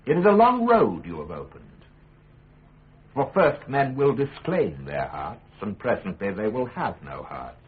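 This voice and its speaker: Grave, eloquent accused statesman, animated delivery. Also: on the low side, phone-quality sound. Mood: resigned, sorrowful. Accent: British. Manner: measured, lawyerly, oratorical. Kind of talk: radio drama courtroom acting.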